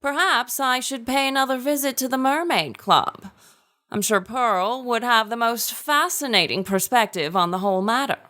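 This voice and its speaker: A woman's voice: on the high side, moderate pace, pushed and bright. An actress playing an affectionate, teasing sister in a period audio drama, reading her letters aloud with composed, formal diction.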